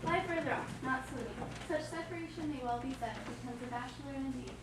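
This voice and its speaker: A woman's voice: high voice, quick pace, natural voice. A woman playing a modest young woman in love in a stage play, coy and prim, recorded from far off in an echoey hall. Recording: echoey hall, distant mic, noisy.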